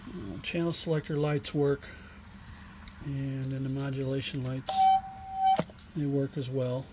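A middle-aged man giving a casual, unscripted product demonstration in a plainspoken, halting way. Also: medium pitch, little pitch movement, loud music behind the voice, low-quality recording. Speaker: online seller demonstrating used radio equipment. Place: indoor home workshop bench. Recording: room noise, homemade camera recording.

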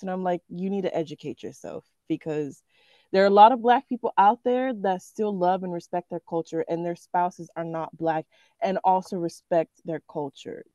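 A woman's voice: on the low side, warm tone, no room sound. A woman speaks up in a class held over a video call. Her audio sounds muffled, lo-fi and dry. She talks earnestly, stopping and restarting as she explains her views. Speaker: student. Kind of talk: casual class discussion.

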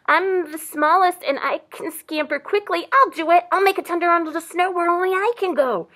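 A woman's voice: extremely high pitch, moderate pace, bright, forceful tone, voice pushed up. A woman voicing a tiny, eager storybook mouse.

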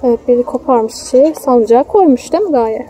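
Young mother, mellow higher-pitched voice, explaining simply to a child, outdoor phone recording with light noise. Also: animated, fast. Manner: affectionate, coaxing, explaining simply. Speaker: mother filming a family outing. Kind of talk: casual chat with her small child. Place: outdoors in a city park. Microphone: handheld phone held by the speaker.

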